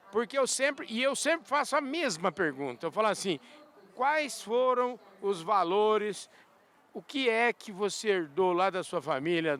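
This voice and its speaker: A man's voice: sits high, a bit bright. A man hosting a podcast, interviewing a guest outdoors at a farm show in a chatty, folksy way with eager questions. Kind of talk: casual interview chat.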